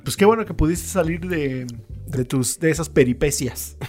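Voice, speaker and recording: A man's voice: on the high side, moderate pace, a little mellow, soft background music. A man, co-hosting a podcast in casual, crude, joking banter full of swearing. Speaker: podcast co-host. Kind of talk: casual chat.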